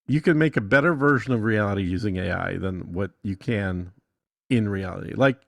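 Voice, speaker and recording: Gravelly, blunt man in casual explanation. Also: fairly low pitch, normal speed, a bit bright, no echo. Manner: blunt, corrects the other speaker. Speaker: podcast guest explaining music monetization. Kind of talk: casual screen-share tutorial chat.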